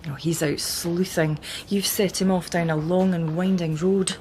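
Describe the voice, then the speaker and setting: An actress playing a playful police detective in a radio drama, talking with teasing familiarity to a colleague. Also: female voice, fairly low pitch, fairly even intonation, a little slow, darkish tone, a little hiss or hum, close to an everyday voice.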